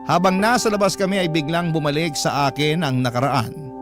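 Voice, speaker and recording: A man hosting on radio, reading a listener's letter as measured, sentimental first-person narration. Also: fairly high pitch. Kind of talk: dramatic first-person storytelling, scripted narration. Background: prominent music bed.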